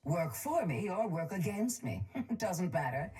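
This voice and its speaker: A woman voicing a ruthless, self-made businesswoman villain in a video game, haughty, measured and condescending. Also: fairly low pitch, sing-song, a little mellow, breathy edge, exaggerated voice. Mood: confident threat. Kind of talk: video game character voice lines. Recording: music behind the voice.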